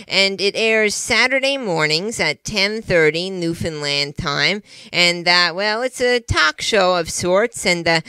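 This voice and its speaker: Man voicing a bright, high-voiced puppet show host. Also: clean tone, dry recording, exaggerated voice. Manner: chatty, folksy, eager showman. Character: cheerful, polite puppet talk show host, male. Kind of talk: children's puppet show presenting.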